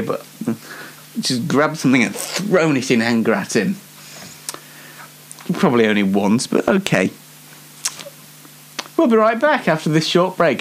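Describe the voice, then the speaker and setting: Rambling British man with swooping pitch. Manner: rambling, self-mocking. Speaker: host of a comedy news show. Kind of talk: unscripted comic news commentary.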